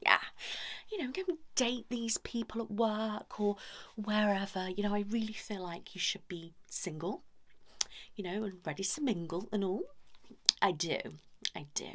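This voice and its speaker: A woman speaking softly and often whispering in an ASMR role-play as a chatty couples therapist, gently scolding. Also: mid-range voice, normal speed. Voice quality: soft, breathy, often whispered. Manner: chatty, mock-concerned, gently scolding, hushed. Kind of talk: ASMR role-play, one-sided improvised conversation.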